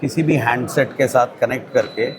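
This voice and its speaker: A man's voice: medium pitch, normal speed, noisy background. An Indian man explains products in conversation as a presenter, switching often between two languages. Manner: frequent switching between Hindi and English. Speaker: product presenter for a radio equipment business.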